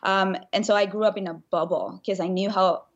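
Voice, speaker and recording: A woman speaks at a medium pitch as a podcast guest. She tells her story in an earnest, animated way. The audio is a bit dull and lo-fi. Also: fairly quick. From Venezuela. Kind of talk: conversational interview.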